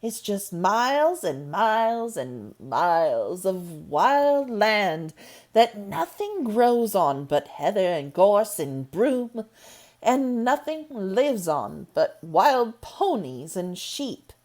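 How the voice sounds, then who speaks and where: A woman's voice: mid-range voice, sing-song, slow pace, darkish tone. A woman voicing a plain-spoken middle-aged housekeeper who speaks firmly and matter-of-factly. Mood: brisk.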